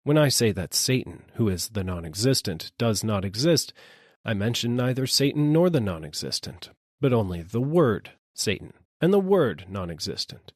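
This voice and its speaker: A man's voice: fairly low pitch, big pitch swings, normal speed, no echo, clean studio sound. A man voicing an argumentative, logic-minded philosopher in a storybook, emphatic and formal in his declamation. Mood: triumphant, emphatic.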